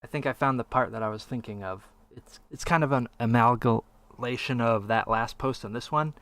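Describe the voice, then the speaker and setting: Podcast guy, mid pitch, super dry close sound, chatty, reads stuff out loud. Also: moderate pace, dry recording. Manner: conversational, reads quotes aloud, asks for opinions. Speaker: podcast co-host. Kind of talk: casual podcast discussion.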